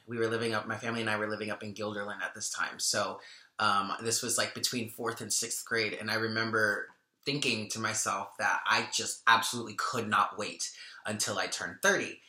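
A man's voice: lowish voice, fairly even intonation, normal speed, bright, forceful tone. A man in his thirties chatting casually to camera as host of a spiritual channel, laughing often and restarting his sentences. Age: 30s. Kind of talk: casual vlog talk to camera. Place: small bedroom or home room, indoors. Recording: echoey room.

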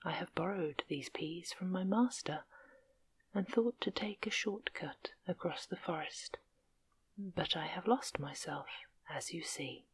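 Humble peasant-raised prince, young and earnest, whispered slow and low by a woman narrator. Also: husky, low-quality recording.

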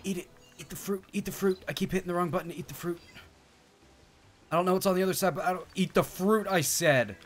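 A man's voice: sits high, fast. A young man giving casual gameplay commentary as a streamer, with jokey, sweary banter. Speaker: video game streamer. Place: indoor streaming setup. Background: game music.

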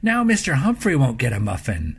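A man voicing an earnest, dismayed young girl in a children's storybook, exclamatory and expressive, with a husky voice. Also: mid-range voice, brisk, heavily acted. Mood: disappointed.

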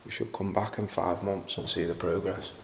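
A very low-voiced man chats his way through derelict rooms, sometimes dropping to a half-whisper. It is recorded on a handheld camera in a hollow, echoing space. Steady hiss sits under everything. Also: moderate pace, creaky. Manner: chatty, half-whispered asides. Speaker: urban explorer. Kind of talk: casual filmed commentary. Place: abandoned house indoors. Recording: roomy and noisy camera audio.

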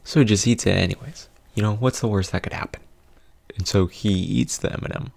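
A young man telling a casual comedic story in a conversational way, with mock-dramatic pauses, close on a studio mic. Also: fairly low pitch, vocal fry, darkish tone, dry recording. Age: young adult. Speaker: video host, storyteller. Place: small indoor home studio. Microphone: close studio condenser mic with pop filter.

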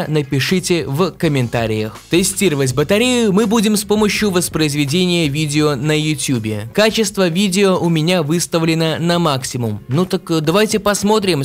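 A young man with a slightly sick voice, giving a phone review as a voice-over and playfully calling his viewers grandkids. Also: on the high side. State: sick, strange voice. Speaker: tech reviewer.

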